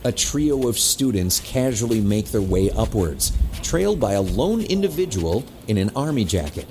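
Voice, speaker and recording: A man's voice: on the low side, big pitch swings, moderate pace, a little mellow, a little hiss or hum. A man reading scripted scene narration for an audio drama.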